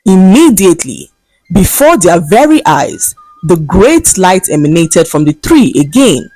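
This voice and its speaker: A woman storyteller reads a scripted folktale with dramatic expression. Her voice sits a little low and moves through wide, animated swings of pitch. The recording is close and dry, with faint music underneath. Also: measured pace, breathy edge, dry recording, rough recording. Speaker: storyteller, narrator. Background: faint background music.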